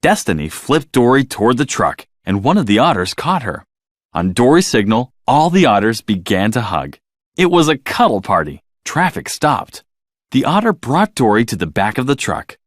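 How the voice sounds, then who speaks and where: Male storybook narrator for children: dramatic, highly animated delivery at a middle pitch, recorded dry. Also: moderate pace, no room sound.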